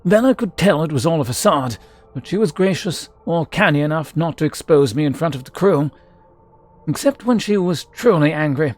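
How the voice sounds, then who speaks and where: A man's voice: fairly high pitch, lively intonation, moderate pace, voice pushed up. A man voicing a proud, theatrical mercenary archaeologist from a fantasy game, telling his past in florid, formal speech. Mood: nostalgic, reflective. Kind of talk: character voice in a fantasy game read-through.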